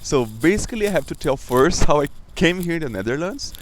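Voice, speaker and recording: A man's voice: fairly high pitch, sing-song, brisk, slight background noise. Brazilian man who stutters. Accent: Brazilian Portuguese. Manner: stutters, with repetitions and restarts. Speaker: vlogger. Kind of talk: casual personal vlog.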